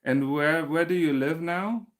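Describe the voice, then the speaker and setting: A man's voice: on the high side, measured pace. A man, hosting a casual livestream and chatting through viewer comments, laughing often and restarting his sentences. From Germany. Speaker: crochet channel host.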